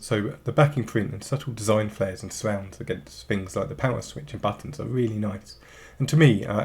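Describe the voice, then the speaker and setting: A man's voice: fairly low pitch, darkish tone. A man in his thirties hosting a retro gaming channel, chatting enthusiastically through an unboxing while he has the flu. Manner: chatty, enthusiastic product talk. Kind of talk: unboxing and product overview.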